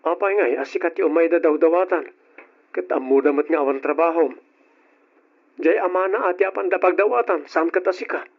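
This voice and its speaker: Felix, a husky, domineering husband. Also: male voice, a little high, a little fast. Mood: stern, irritated. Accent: Ilocano. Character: Felix, domineering husband, tight-fisted, doting on his pregnant wife. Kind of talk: old Ilocano radio drama acting. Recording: dull, lo-fi old radio recording.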